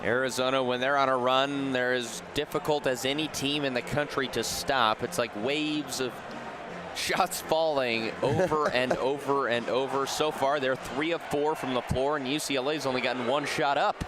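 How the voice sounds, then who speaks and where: A man's voice: medium pitch, steady tone, moderate pace. A young man calling a game live as a play-by-play sports announcer. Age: young adult. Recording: noisy arena and ballpark ambience. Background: sports venue noise, bouncing basketballs.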